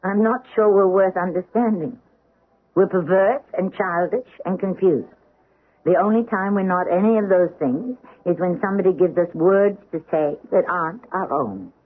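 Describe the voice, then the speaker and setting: A woman's voice: lowish voice, moderate pace, lightly acted. A woman playing a troubled stage actress and dutiful daughter in a 1970s radio drama, speaking earnestly and theatrically. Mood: wistful, resolute. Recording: muffled old radio broadcast.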